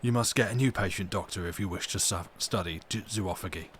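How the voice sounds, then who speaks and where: A man's voice: sits low, a little fast, fairly bright tone, voice pushed down. A cunning madman acted out by a man reading a storybook holds forth with pompous superiority. He is emphatic and grandiose, an asylum patient sure of his own cleverness. Faint noise sits behind the voice. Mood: insistent, then brighter.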